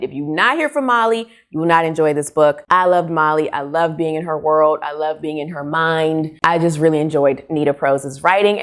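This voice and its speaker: Casting: American woman, middle-aged, lowish voice, candid and opinionated book vlogger, conversational home-video delivery. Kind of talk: casual book review chat to camera. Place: small furnished room at home, indoors.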